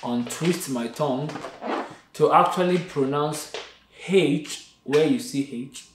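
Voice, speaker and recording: A man's voice: fairly high pitch, slow pace, reverberant, slight background noise. A young Nigerian man with a Nigerian accent, chatting playfully and dramatically on a couple's vlog and mixing in Yoruba phrases. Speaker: vlog co-host. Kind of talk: casual chat.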